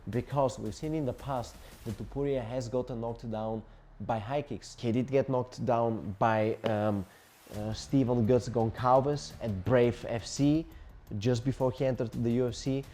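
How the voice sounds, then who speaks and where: A man's voice: medium pitch, steady tone, unhurried, warm tone, a little hiss or hum. A Bulgarian man in his twenties, a combat sports journalist speaking English as a second language, breaking down a fight with emphatic, repetitive lists of tactics. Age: 20s. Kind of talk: solo fight analysis to camera.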